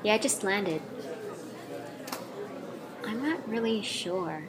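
A woman's voice: medium pitch, takes it slowly, a crowd in the background. A woman in her twenties hosting a podcast, chatting casually and explaining at length. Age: 20s. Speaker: podcast host.

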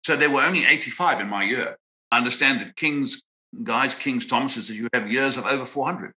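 A man in his sixties from Zimbabwe, a psychiatrist answering interview questions in a measured, reflective way with frequent pauses and restarts. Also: medium pitch, bright, forceful tone, low-quality recording. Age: 60s. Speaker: guest psychiatrist.

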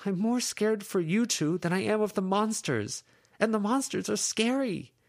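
A man's voice: sits high, expressive, moderate pace, vocal fry, pitched above the performer's own voice. A man voicing an exasperated teenage girl who scolds her friends with tense, pleading frustration. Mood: frustrated scolding.

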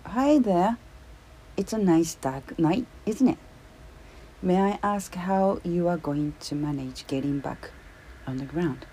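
A woman's voice: low voice, a little slow, dark tone, husky, low-quality recording. A woman reading as a polite stranded timber worker. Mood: cheerful.

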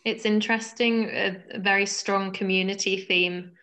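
Woman from Norway, guest on a call, chatty storyteller, crisp bright tone, little melody. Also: mid-range voice, fairly even intonation, normal speed. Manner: warm storytelling with fillers and laughs. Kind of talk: video call conversation. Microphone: video call.

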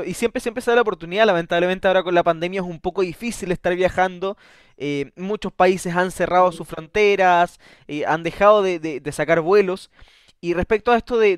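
High-voiced chatty male radio host. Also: smooth, no room sound, clean studio sound. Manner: chatty, stumbles and restarts, tag questions. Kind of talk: casual interview.

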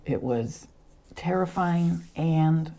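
Middle-aged woman, gravelly, mellow, lively storyteller. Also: deep voice, slow pace. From born in Argentina, raised in Los Angeles. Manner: animated, laughing as she recalls. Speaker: interview guest, photographer. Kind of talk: casual storytelling.

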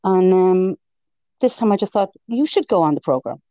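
A woman with a fairly low voice, telling her story as an interview guest in a chatty way, often quoting her own thoughts. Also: expressive, normal speed, mellow, no room sound, lo-fi sound. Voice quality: lower voice for a woman. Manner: chatty storytelling with self-quotes and laughs. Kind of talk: casual interview chat.

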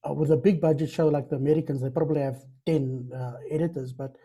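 Very dark-voiced male host. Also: fairly high pitch, fast talker, breathy edge. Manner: relaxed, friendly, uses fillers. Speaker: podcast host. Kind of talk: casual interview chat.

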